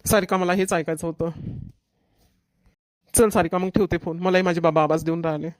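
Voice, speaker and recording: A woman voicing an earnest young fiancé in a family cartoon, gently asking questions. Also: on the low side, steady tone, a little fast, natural voice. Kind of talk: cartoon family drama voice acting.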